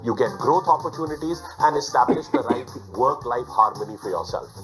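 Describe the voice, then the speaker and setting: A man giving a scripted career-coaching ad pitch in a smooth, salesy manner. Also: lively intonation, a little fast. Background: background music.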